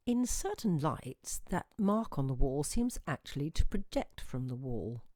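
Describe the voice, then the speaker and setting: A woman reading a literary short story aloud in a reflective, deliberate way, with expressive pauses and emphasis. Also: deep voice, no echo, clean studio sound. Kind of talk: expressive literary audiobook narration.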